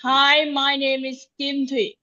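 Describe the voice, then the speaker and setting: A woman's voice: high voice, flat delivery, takes it slowly, sharp tone, lo-fi sound. A nineteen-year-old woman giving short, careful answers as an English learner.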